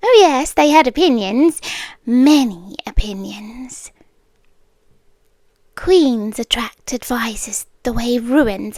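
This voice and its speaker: A British woman playing a possessive monster queen in an intimate ASMR roleplay, speaking in a hushed, deliberate, regal way and often whispering. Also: on the high side, no echo. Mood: haughty, scornful. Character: possessive monster queen, obsessive captor, softly menacing.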